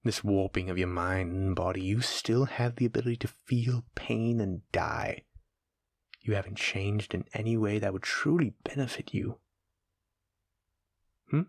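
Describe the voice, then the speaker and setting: Horror roleplay monster, male actor: centuries old, sadistic and taunting, lowish pitch, leisurely musing delivery, dry recording. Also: unhurried, vocal fry, dry recording. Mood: delighted, then wry, taunting. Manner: gleeful, mocking, theatrical, leisurely musing. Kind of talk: scripted horror audio roleplay addressed to the listener.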